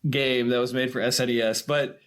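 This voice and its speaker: A man's voice: medium pitch, flat delivery, fairly quick, smooth. A man hosting a casual film chat, talking in a rambling, informal, slangy way. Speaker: podcast host. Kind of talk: casual chat about films.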